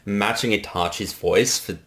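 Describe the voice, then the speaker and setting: A man with a fairly low voice gives casual director's commentary. He explains choices reflectively, pausing and picking through his thoughts. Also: a bit bright. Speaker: director giving creator commentary. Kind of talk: casual behind-the-scenes commentary.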